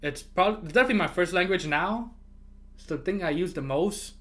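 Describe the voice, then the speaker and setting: Animated, ranting male streamer. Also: high voice, fairly quick. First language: not English. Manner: animated ranting, swears freely, laughs often. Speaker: livestreamer. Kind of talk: casual livestream chat.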